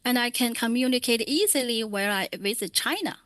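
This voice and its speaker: Female meeting interpreter, slightly high, dry mic, calm and measured. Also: no room sound. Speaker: Mandarin interpreter. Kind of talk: consecutive interpreting at a public meeting.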